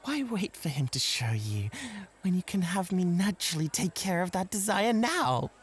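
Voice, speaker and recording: A man's voice: sits high, sing-song, moderate pace. A man playing a competitive, flirtatious club host in an ASMR roleplay, with a coy, playful and coaxing way of talking. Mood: seductive coaxing.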